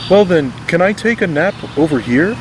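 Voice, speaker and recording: A man acts out a young dog from a children's storybook. He sounds earnest, eager and a bit plaintive, in a high, very lively voice. Clear outdoor rumble runs behind the recording. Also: moderate pace. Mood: reluctant.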